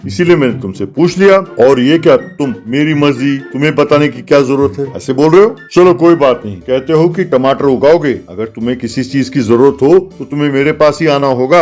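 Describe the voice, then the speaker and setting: A man playing a pompous, mocking, domineering village headman in an animated comedy story. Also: mid-range voice, natural voice. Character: haughty village headman, scornful, domineering older bigwig.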